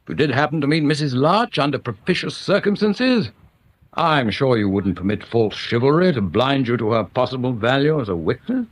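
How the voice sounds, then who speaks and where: Actor as a pompous English chief constable, lightly husky. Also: male voice, mid-range voice, animated, normal speed, close to an everyday voice. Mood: delicately coaxing. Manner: pompous, formal, circumlocutory. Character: stuffy, senior male English chief constable, evasive and formal. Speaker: actor playing a senior police officer. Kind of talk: radio drama acting.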